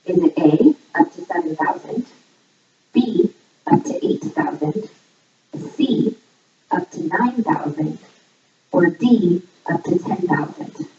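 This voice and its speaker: A woman reading a scripted voice-over like a playful quiz presenter, setting out each line clearly. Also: expressive, takes it slowly, long pauses, warm tone. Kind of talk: scripted voice-over narration, trivia quiz for a fundraiser. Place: voice audio played back in a room during filming. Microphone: far camera picking up played-back audio. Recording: echoey, distant, muffled low-quality playback.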